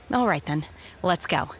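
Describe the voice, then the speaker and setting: A woman playing a calm, aloof young mountain recluse in a video game, reserved and formal. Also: sits low, a little hiss or hum.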